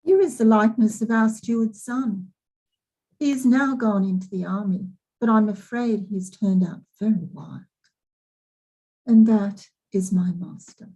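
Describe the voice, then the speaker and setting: A woman's voice: medium pitch, unhurried, dark tone, low-quality recording. A woman playing a proud, devoted estate housekeeper in a period drama reading, earnest and deferential. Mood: fond pride.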